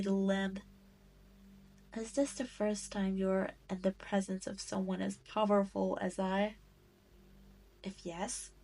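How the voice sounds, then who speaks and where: A woman's voice: medium pitch, warm tone, poor audio. A woman playing a cruel, seductive demon queen in an audio roleplay, taunting and condescending as she toys with her prey. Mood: teasing, haughty. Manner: taunting, haughty, condescending, teasing. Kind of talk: scripted roleplay audio drama monologue.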